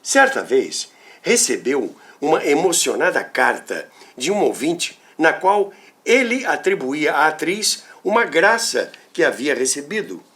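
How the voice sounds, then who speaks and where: A man with a Brazilian Portuguese accent narrating scripted stories in a theatrical, deliberate way as a show host. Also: on the high side, sing-song, fairly bright tone. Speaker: host and storyteller of a radio history show.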